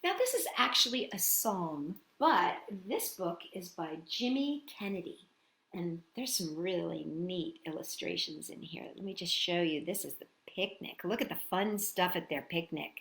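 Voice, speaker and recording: A woman's voice: lowish voice, lively intonation, moderate pace, fairly bright tone. A woman hosting a storytime for small children, talking and singing action songs in a sing-song, encouraging way. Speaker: children's storytime host and singer. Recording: roomy echo, music behind.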